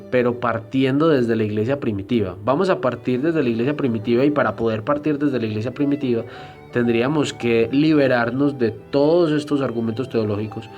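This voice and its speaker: Man teaching, slow and hesitant. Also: mid-range voice, darkish tone. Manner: deliberate, explanatory, frequent hesitation fillers. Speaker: Bible teacher. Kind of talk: church history lecture.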